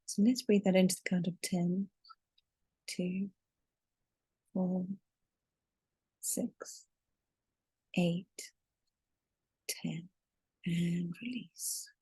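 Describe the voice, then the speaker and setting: A woman leading a guided meditation in a soothing, deliberate way, drawing out her words as she narrates. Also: fairly low pitch, fairly even intonation, dark tone, slightly rough, dry recording, poor audio. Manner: soothing, deliberate, with long drawn-out counting.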